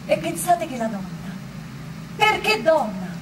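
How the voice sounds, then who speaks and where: A woman's voice: high-pitched, big pitch swings, measured pace, noisy background. An actress with a Roman accent playing a spirited woman of the people in theatrical radio storytelling, exclamatory and full of rhetorical questions. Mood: indignant, arguing. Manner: exclamatory, rhetorical, repeating words.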